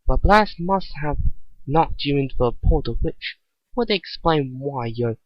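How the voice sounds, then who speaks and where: A man voicing a curious, analytical female mechanic in a story reading, probing and deliberate. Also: medium pitch, animated, no room sound, muffled recording, natural voice. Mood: reasoning.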